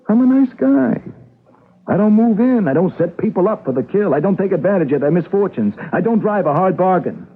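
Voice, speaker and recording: A dull-sounding radio play features an agitated stage manager under suspicion. His voice is fairly high and husky, swinging widely as he justifies himself. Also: lively intonation, moderate pace, full character voice. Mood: boastful, self-justifying. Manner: agitated, self-justifying, imploring. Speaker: radio drama actor. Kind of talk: radio mystery drama acting. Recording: muffled lo-fi radio broadcast.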